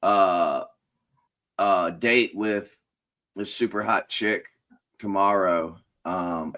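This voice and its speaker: A man's voice: fairly low pitch, pushed and bright. A man hosting a casual live chat and leading a prayer, talking in a chatty, informal, rambling way while sniffling a bit. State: sniffling, stuffy. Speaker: host of a business owners' live chat.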